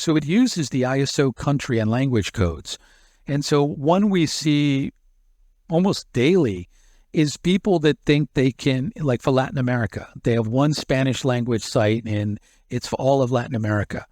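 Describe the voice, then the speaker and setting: A man's voice: medium pitch, a little mellow, dry recording, clean studio sound. A man being interviewed as an expert, explaining a technical topic in long run-on stretches and often repeating words as he restarts. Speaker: SEO expert guest.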